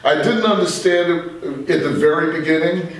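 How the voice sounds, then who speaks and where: An older man telling stories conversationally as an interview guest, in a rambling, unhurried way. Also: on the high side. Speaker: interview guest, radio veteran. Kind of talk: conversational reminiscing. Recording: very echoey room, distant microphone.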